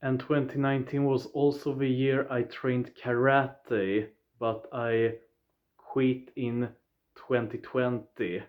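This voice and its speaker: A man of twenty-five with a Swedish accent, talking straight to his viewers in a plain, deliberate way, often opening with "yeah.". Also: mid-range voice, flat delivery, muffled recording. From southern Sweden. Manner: deliberate, plain phrasing, starts points with "yeah". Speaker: vlogger. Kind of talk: casual personal recap to viewers.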